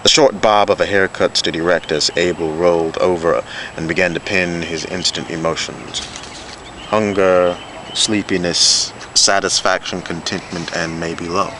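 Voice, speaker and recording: A man's voice: sits low, steady tone, measured pace. A man reading literary fiction aloud in an amateur recording. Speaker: reader of a novella excerpt. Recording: amateur video with loud background noise.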